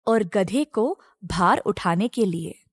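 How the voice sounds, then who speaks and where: A woman's voice: medium pitch, lively intonation, slow pace, slightly rough, no echo, studio quality. A woman narrating a scripted moral story for children as a storyteller.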